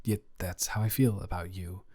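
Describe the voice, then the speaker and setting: A man's voice: sits low, steady tone, moderate pace, mellow. A man playing a nervous, lovestruck young man confessing to his best friend in an improvised romantic audio roleplay, stammering and rambling as he goes. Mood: tender, earnest.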